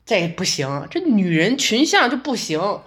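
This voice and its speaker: A woman playing a conservative male theater director in an audio drama, flustered, interrupting and quick to shoot ideas down. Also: mid-range voice, very animated, a bit bright. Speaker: director character.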